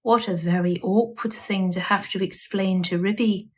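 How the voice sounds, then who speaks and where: A woman's voice: medium pitch, fairly even intonation, moderate pace, a little mellow, husky, close to an everyday voice. A woman voicing an anxious, melodramatic lady animal in a storybook, moaning and plaintive as she talks. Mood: mournful, puzzled.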